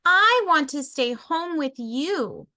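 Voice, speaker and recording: A woman's voice: high voice, lively intonation, slow, bright, forceful tone, pitched above the performer's own voice, heavily acted. A woman voicing a little boy raccoon, an anxious, affectionate child with a plaintive way of talking, in a storybook reading. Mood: pleading, tearful.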